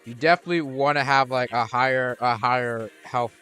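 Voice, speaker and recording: A man's voice: mid-range voice, a little fast, bright, forceful tone, faint music behind. A man hosting a gaming channel, rambling through casual gameplay commentary with lots of restarts and fillers. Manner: rambling, many restarts and fillers, laid-back.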